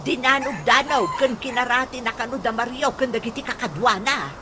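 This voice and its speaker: A woman's voice: a little high, fairly quick, background noise, natural voice. An actress playing a chatty, exclamatory village woman in an Ilocano radio comedy drama. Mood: worried, excited. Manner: exclamatory, expressive.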